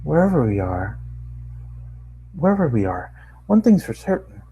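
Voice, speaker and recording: A man's voice: on the high side, big pitch swings, moderate pace, dark tone, breathy edge, dull audio, voice pushed up, heavily acted. A man voicing dainty, theatrical female cartoon ponies in a storybook-style reading. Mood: dramatic.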